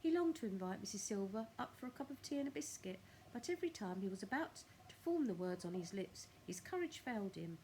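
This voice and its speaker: A woman's voice: medium pitch, big pitch swings, fast, hardly any pauses, mellow, a little hiss or hum, poor audio. A woman narrating a children's story expressively, with careful phrasing and dramatic emphasis. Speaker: primary school storyteller.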